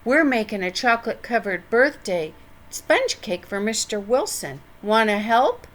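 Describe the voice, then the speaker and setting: Storybook role: excitable, cheeky young boy, high and unhurried, played by a woman reader. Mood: cheerful. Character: eager, cheerful young mischievous boy. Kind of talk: children's storybook character voice.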